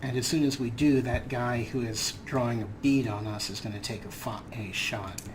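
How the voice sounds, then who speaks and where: A man with a middle-pitched voice talks through his gameplay in a relaxed, explaining way, slipping in dry, wry jokes. Clear background noise runs behind his voice. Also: normal speed. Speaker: let's play host. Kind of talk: casual game commentary.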